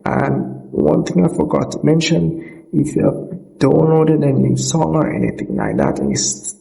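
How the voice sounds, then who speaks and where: A man hosts an informal how-to narration for an app. His voice is dark and relaxed with a gravelly fry at the edges. He explains things step by step, repeating himself, and his pitch moves around in a lively way. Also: low-quality recording. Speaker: host of app tutorial. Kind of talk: informal screen-recorded tutorial narration.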